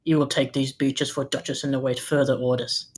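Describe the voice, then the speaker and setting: A woman's voice: rumbling low, flat delivery, a little fast, a little mellow, a little hiss or hum. A woman playing soldiers and officers in a table read of a fantasy war script. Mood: formal, commanding.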